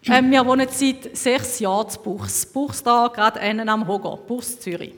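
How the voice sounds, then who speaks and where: A woman in her thirties with a Schaffhausen Swiss German accent introduces herself to a congregation in a chatty way, with plenty of hesitant fillers. Her slightly high voice rings out with long hall reverb. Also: moderate pace. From Canton Schaffhausen, Switzerland. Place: church hall.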